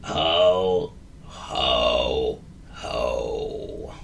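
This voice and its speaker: Man doing a droopy grumbly creature guy, low and mumbly, totally miserable. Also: wildly swooping pitch, extremely slow, creaky, pushed and bright, recorded from a distance, a little hiss or hum, full character voice. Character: gloomy grumbling male creature.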